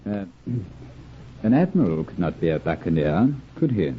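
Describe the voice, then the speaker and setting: An actor playing a pompous, scheming colonial governor in an old radio drama, formal and authoritative. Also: male voice, low voice, sing-song, unhurried. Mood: sly, conspiratorial. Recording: muffled phone-like old radio recording, noisy.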